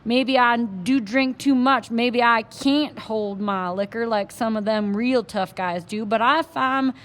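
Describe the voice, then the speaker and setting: A woman voicing a tiny, bitter, hard-drinking cowboy man with a Texas accent and a loud drawl. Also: fairly high pitch.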